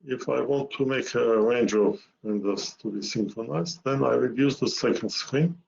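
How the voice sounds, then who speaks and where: A man narrating a software demo webinar, explaining step by step in a halting way with repeated words. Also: medium pitch, vocal fry, a little hiss or hum. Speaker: software presenter. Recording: muffled lo-fi webinar audio.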